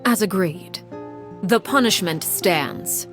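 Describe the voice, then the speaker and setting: An actress voicing a cold, composed woman who leads an orphan house and is called Father, speaking calmly and measuredly, often in a breathy near-whisper. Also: medium pitch, takes it slowly. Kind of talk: video game cutscene voice acting. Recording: game audio with loud music behind.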